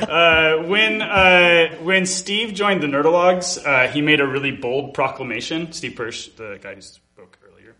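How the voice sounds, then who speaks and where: A man's voice: fairly high pitch, a little slow, hardly any pauses, pushed and bright. A man from a comedy group giving a casual, irreverent tribute speech to an audience. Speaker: comedy group member speaking on stage.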